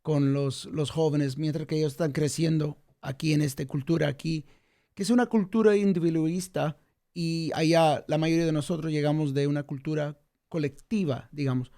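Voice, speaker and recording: A male podcast host with a fairly high voice leads the interview. He asks long, rambling questions and keeps up soft affirming sounds as the guest speaks. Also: fairly even intonation, normal speed. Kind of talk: casual interview.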